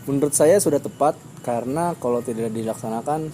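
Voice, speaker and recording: A man's voice: mid-range voice, brisk, dark tone, a little hiss or hum. A man in his twenties answering interview questions in plain, fairly formal words. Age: 20s.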